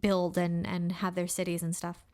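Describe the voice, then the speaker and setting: A woman's voice: lowish voice, level tone, moderate pace, dark tone. Gushing woman podcast co-host. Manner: enthusiastic, heavy use of "like" and "really cool". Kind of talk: casual chat.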